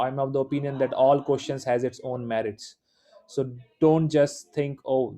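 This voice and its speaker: A man's voice: mid-range voice, warm tone. A man with an Indian accent, native Hindi speaker, giving a live class in an informal, encouraging way with many fillers. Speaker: instructor. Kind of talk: live online class lecture.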